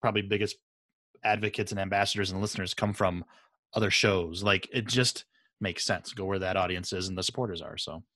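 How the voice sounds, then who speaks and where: Lower-pitched man hosting, dry close sound. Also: steady tone, fairly quick, bright, forceful tone, dry recording. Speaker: podcast host. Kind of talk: casual interview chat.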